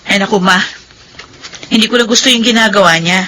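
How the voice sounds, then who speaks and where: An actress playing a jealous, sharp-tongued Filipino wife, nagging and confrontational, in a radio drama. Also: female voice, medium pitch, a little fast, slight background noise, natural voice, rough recording. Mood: irritated.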